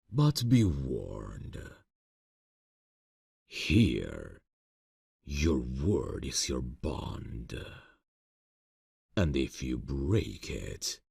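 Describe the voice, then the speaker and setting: A man playing an ancient, courtly vampire boss in an ASMR fantasy roleplay, seductive and quietly menacing, speaking formally and deliberately. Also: no room sound, clean studio sound, heavily acted. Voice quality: very deep, gravelly, breathy. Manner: slow, formal, silky, deliberate. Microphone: close microphone, dry sound.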